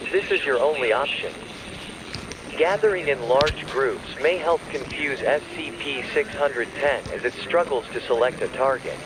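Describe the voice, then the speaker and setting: A man delivering a scripted emergency broadcast warning in a formal, measured, official announcer's manner. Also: mid-range voice, noisy background. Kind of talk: scripted emergency alert narration.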